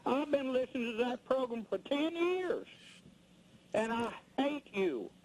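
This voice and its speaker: A man's voice: very high voice, animated, unhurried, very noisy. A combative man, calling a radio show to rant angrily and repeating himself as he scolds. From rural United States. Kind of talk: angry phone-in rant.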